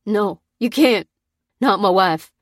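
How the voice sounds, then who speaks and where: A woman's voice: mid-range voice, fairly even intonation, moderate pace, a little mellow, no echo, clean studio sound, close to an everyday voice. Woman acting a pleading, grief-stricken farmer husband. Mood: grief-stricken, choked. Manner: trembling, pleading, choked. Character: distraught farmer husband, grieving, pleading desperately.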